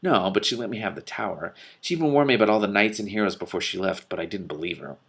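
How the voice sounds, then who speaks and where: A man's voice: fairly low pitch, lively intonation, fast, creaky, a bit bright, slightly rough, exaggerated voice. A man voicing a hot-tempered male fire witch in a storybook, vehement, emphatic and aggrieved. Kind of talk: storybook read aloud.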